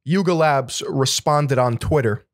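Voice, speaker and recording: A man's voice: medium pitch, unhurried, dry recording. A laid-back man with a mid-range voice co-hosts a podcast, explaining things at length and often restarting his words. The recording is dry and close-miked. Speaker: podcast co-host. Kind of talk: casual podcast conversation.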